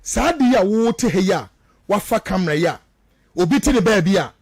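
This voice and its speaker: A Ghanaian man with a high voice and very lively intonation gives impassioned political commentary in a dry, close studio sound. His native Twi colours his speech, and he hammers points home with repeated rhetorical questions. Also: fairly quick, husky, no room sound.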